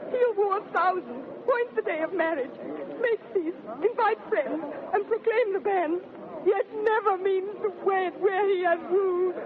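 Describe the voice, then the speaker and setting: An actress playing a proud, sharp-tongued, indignant reluctant bride, declaiming in 1930s radio Shakespeare style. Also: female voice, very high voice, measured pace. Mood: bitter, indignant. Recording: thin, narrow-band 1930s radio, crowd behind.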